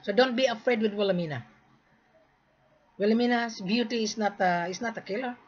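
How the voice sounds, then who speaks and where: Insistent husky woman pitching sales. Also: brisk. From Philippines. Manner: repetitive, insistent, lecturing. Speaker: gold sales marketer. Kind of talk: direct-to-camera sales pitch. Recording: dull lo-fi recording.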